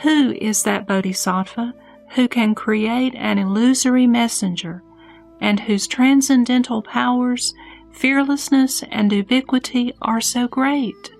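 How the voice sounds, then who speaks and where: Woman as slow, high-voiced curious bodhisattva. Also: faint music behind. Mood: reverent curiosity. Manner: formal, deliberate questioning. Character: curious, reverent bodhisattvas of a fragrant heavenly land.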